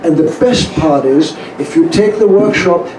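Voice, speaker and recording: A man from outside the United States with a fairly high voice gives an informal speech of praise. His phrasing is halting and emphatic, picked up from a distance in an echoey room with clear noise. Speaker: workshop student praising the host. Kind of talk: informal testimonial speech to an audience. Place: echoey room at a live event.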